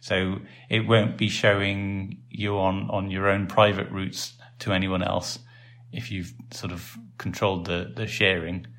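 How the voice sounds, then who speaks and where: A Welsh man with a very low voice hosts a casual podcast. He rambles along easily, full of ums and little restarts. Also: a little slow. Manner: relaxed and rambling, with many fillers. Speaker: podcast host and site developer.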